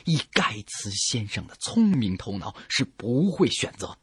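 A man co-hosting a radio comedy show in a light, scripted style with an animated presenter's delivery. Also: medium pitch, a little slow, breathy edge, no room sound, clean studio sound. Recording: prominent music behind.

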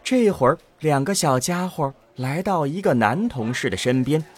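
A man's voice: a little high, expressive, moderate pace. A man delivering scripted narration for an audio drama as its storyteller.

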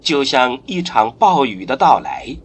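A man narrating a science-fiction radio drama in a solemn storytelling manner, with dramatic pauses. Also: mid-range voice, huge pitch swings, husky.

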